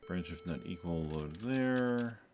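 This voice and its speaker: A man's voice: deep voice, animated, slow pace, a little mellow. A man hosting a programming tutorial, thinking aloud as he codes, tired, with many ums and uhs. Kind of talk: casual screen-recorded coding walkthrough.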